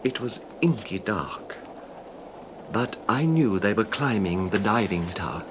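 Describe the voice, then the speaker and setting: An actor playing a controlled, wronged husband calmly confessing his revenge in a 1970s radio drama, measured and understated, with slight hesitations. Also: fairly low pitch, noisy background. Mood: hushed, chilling.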